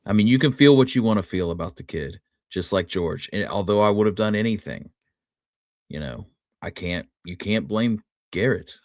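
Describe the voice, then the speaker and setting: A man's voice: lowish voice, expressive, moderate pace, no echo, clean studio sound. A man co-hosting a history show in casual chat, choosing his words carefully and hesitantly.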